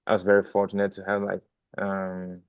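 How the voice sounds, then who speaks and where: A man's voice: low voice, monotone, normal speed, clear, resonant tone, no room sound. A young Danish man, a drummer, chatting as a podcast guest with lots of ums and restarts. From Denmark. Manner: many fillers and restarts, friendly rambling. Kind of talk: casual interview talk.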